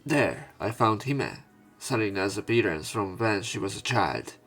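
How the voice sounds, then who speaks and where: A man's voice: on the low side, big pitch swings, unhurried, fairly bright tone, soft background music. A man reading visual novel text aloud as dramatic narration for a let's play.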